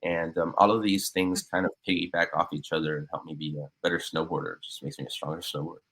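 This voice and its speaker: Low-voiced man in his thirties. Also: little pitch movement, fast, a bit bright, breathy edge, rough recording. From Cuba, raised in Miami. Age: 30s. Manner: earnest, talkative, with many fillers and restarts. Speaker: guest, business owner. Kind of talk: casual podcast interview.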